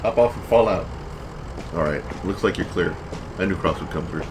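A man plays a gruff captain in an audio drama. His voice is deep and he barks short, military orders. Vehicles and city noise sit clearly behind him. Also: natural voice. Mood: brisk, businesslike. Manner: brisk, clipped military orders. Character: gruff, commanding male police captain at a checkpoint.

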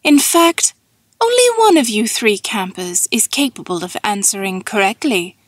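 A woman's voice: mid-range voice, normal speed, no room sound, clean studio sound. A woman playing an ancient, all-knowing sphinx in a fantasy audiobook, speaking in a dreamy, formal drone with cool menace. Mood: imperious. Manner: dreamy, droning monotone, formal and cryptic.

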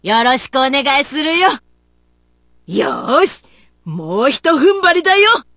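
A woman's voice: high-pitched, big pitch swings, a little slow, sharp tone, no room sound, studio quality, heavily acted. A woman voicing a plucky, folksy big-sisterly warrior in a video game, with tough motherly phrasing. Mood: rousing. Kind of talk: video game battle voice lines.

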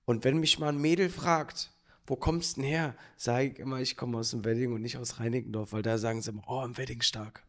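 A man's voice: medium pitch, brisk. A young man with a Berlin accent, co-hosting a casual podcast in a studio, telling jokey stories into a handheld mic. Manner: joking, animated storytelling. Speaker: podcast co-host. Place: studio with green plant wall. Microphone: handheld dynamic mic.

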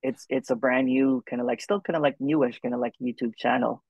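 A man's voice: medium pitch, steady tone, fairly quick, gravelly, no room sound. A man, chatting casually on a video call with lots of "you know" and "kinda like". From Philippines. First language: Filipino. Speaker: non-duality speaker and YouTuber. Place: room with a gray wall indoors. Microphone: webcam on a video call. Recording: dull lo-fi webcam audio.

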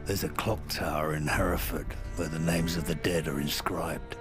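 A hardened English commando bent on revenge. The voice is low and gravelly, the delivery weary and clipped, in cinematic game style over loud music. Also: male voice, steady tone, moderate pace. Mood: grim. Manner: terse, weary, hard-edged. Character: gruff, grim, vengeful veteran British male commando. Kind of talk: cinematic video game acting.

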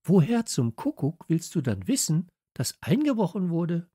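A man's voice: on the high side, sing-song, moderate pace, dark tone, slightly rough, no echo, studio quality, voice pushed up. A man voicing an assertive, sceptical young girl in a pert, challenging way for a children's audiobook.

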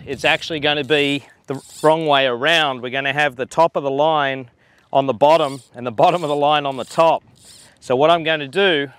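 A man in his forties, a fly fishing guide, explaining line handling outdoors on a harbor dock with a lapel mic, relaxed and a bit hesitant. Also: on the high side, no echo. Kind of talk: instructional demonstration.

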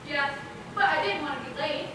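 High-voiced eager office girl played by a woman. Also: normal speed, close to an everyday voice. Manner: earnest, projected stage delivery. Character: eager, hopeful young female office girl. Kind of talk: amateur stage play acting. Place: large indoor theater stage, far camera. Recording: echoey stage from far off, loud noise.

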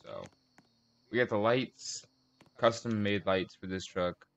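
A man with a low voice hosts a casual showcase video. He talks in short, halting phrases and corrects himself as he goes. The sound is dull and lo-fi, as from a basic computer microphone. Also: big pitch swings, gravelly, fairly bright tone. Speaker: host of a car collection video channel. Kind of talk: casual video game car tour commentary.

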